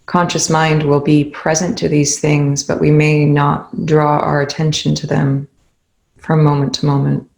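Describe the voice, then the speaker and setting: Gravelly-voiced meditation guide in an echoey room. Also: medium pitch, flat delivery. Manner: soothing, unhurried, gentle instruction with long pauses.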